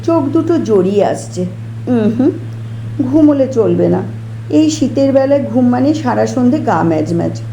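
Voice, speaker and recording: A woman's voice: on the high side, lively intonation, moderate pace, vocal fry, mellow, muffled recording. A woman reading a scripted short story expressively, as an audiobook storyteller. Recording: roomy echo, faint background noise.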